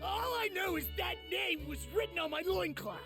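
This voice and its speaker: An actor playing a brash, hot-headed young male brawler in an anime game, loud and belligerent with a gruff voice. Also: very high-pitched, moderate pace, over music. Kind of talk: dramatic anime game voice acting.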